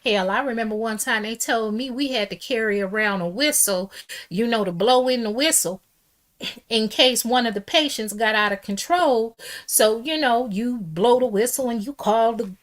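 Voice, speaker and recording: A middle-aged woman with a loud, strong voice, giving a casual opinion monologue as a pop culture commentator, sassy and emphatic, calling the listener "baby.". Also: fairly high pitch, normal speed.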